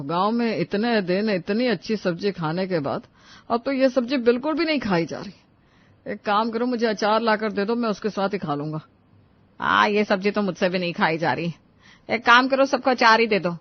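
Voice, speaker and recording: A woman's voice: medium pitch, dry recording, dull audio. A woman voicing a fussy, critical older in-law who complains in a family story.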